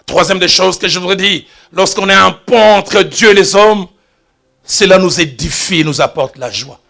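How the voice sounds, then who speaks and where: A man preaching a sermon as pastor, telling stories and shifting from quiet asides to loud exclamations. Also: high-pitched, moderate pace, gravelly. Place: church.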